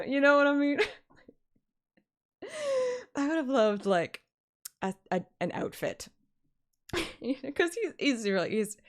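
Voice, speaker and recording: A woman livestreamer chatting casually and playfully, often giggly and interrupting herself as she talks to viewers. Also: mid-range voice. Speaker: live streamer and fan reader.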